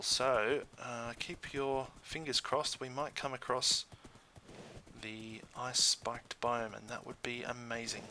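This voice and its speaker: Bright-voiced man, casual gaming commentary. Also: mid-range voice, slight background noise. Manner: hesitant, thinking aloud. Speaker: gaming video host.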